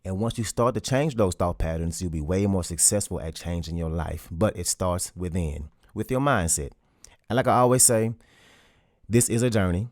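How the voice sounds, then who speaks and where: Low-voiced man giving motivational talk. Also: darkish tone, no room sound, studio quality. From Louisiana, US. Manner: earnest and direct, with plain colloquial speech. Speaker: podcast host.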